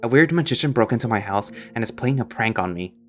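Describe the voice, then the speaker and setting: A man voicing a blunt, unimpressed homeowner who answers with dry, deadpan sarcasm. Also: mid-range voice, fast talker, faint music behind, pitched below the performer's own voice, lightly acted.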